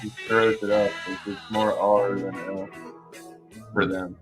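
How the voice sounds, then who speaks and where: A man's voice: on the low side, little pitch movement, measured pace. A man chatting casually in a blunt, profane, ranting way. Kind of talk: casual chat. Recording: music playing behind the voice. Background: music.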